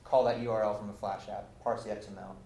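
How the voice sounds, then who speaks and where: A man's voice: lowish voice, level tone, fast, vocal fry, dark tone. A young man giving a casual technical talk at a meetup, explaining with many ums and restarts, recorded by a camera across a lecture room. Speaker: presenter. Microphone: far camera. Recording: echoey room, faint hiss, from camera.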